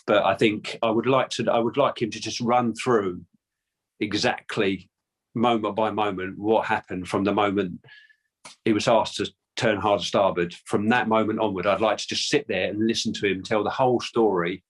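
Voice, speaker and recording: A man's voice: fairly low pitch, fairly even intonation, moderate pace, husky. A man with a London accent answering interview questions casually, hesitant with many restarts and fillers. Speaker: guest, descendant of a Titanic crewman.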